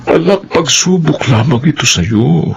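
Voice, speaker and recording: A man with a Filipino accent playing a firm, protective middle-aged father in a radio drama, authoritative and deliberate. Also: on the high side, lively intonation, vocal fry, dull audio, a little hiss or hum. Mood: consoling.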